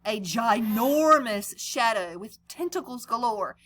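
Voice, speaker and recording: A woman reads a children's story aloud in a lively, swooping voice. She is on the high, bright side and speaks conversationally, explaining and putting questions to young listeners. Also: slow, slight background noise. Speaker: read-aloud storyteller and teacher. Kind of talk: children's picture-book read-aloud with explanations.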